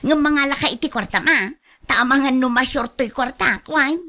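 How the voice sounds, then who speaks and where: A woman's voice: sits high, normal speed, bright, forceful tone. An actress with an Ilocano accent playing a scheming, coaxing young woman in a radio drama. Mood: breezy, coaxing.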